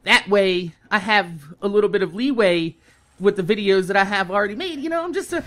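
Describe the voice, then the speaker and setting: A man in his thirties telling a casual, exasperated story with heavy swearing, in the animated manner of a gaming YouTuber. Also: high-pitched, brisk. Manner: animated, heavily profane, exasperated.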